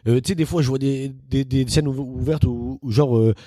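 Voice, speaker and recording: Young french comedian guy chatting, mellow tone, dry close sound, acts out quotes. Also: mid-range voice, normal speed, no echo. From northern France. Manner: animated, acts out quotes in shifted voices. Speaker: comedian guest. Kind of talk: casual podcast chat.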